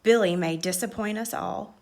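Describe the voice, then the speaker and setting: A woman's voice: mid-range voice, normal speed, a little mellow, close to an everyday voice. A woman voicing an earnest young boy who talks like a solemn, pompous sports commentator in a storybook.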